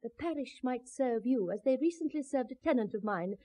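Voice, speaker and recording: A woman's voice: sits high, lively intonation, fairly quick, mellow. An actress playing a cruel, mocking lady of the manor in a 1970s radio drama, with a sneering, imperious manner. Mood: gleeful mockery.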